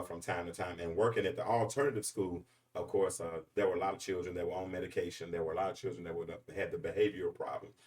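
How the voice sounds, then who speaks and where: A man's voice: low-pitched, distant mic. A man, hosting a freestyle commentary monologue in an emphatic, rambling, preachy way. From Arkansas, US. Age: 40s. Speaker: podcast host.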